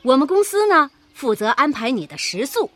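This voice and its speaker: An actress playing a breezy, persuasive company boss in a radio drama, pushy and gushingly hospitable. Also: female voice, high-pitched, very animated, moderate pace, a bit bright. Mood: expansive, hospitable. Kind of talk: Chinese radio drama acting.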